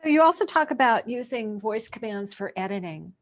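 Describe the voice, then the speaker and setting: A woman's voice: on the high side, lively intonation, brisk. A woman interviewer talking casually and asking questions in an informal, curious way.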